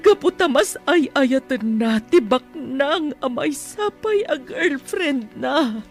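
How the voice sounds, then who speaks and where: A high-voiced, reproachful old nanny. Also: female voice, expressive, soft background music, exaggerated voice. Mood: sorrowful confession. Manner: earnest, admonishing, drawn-out delivery. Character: old nanny woman, reproachful, pleading, secretly a mother. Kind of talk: radio drama acting in Ilocano.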